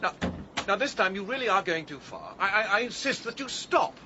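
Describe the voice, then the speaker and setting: An actor playing a pedantic, reluctant gentleman sidekick in a radio drama, with an English accent and a proper, exasperated manner. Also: high voice, big pitch swings, fairly quick. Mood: exasperated, flustered. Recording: dull sound, clear background noise.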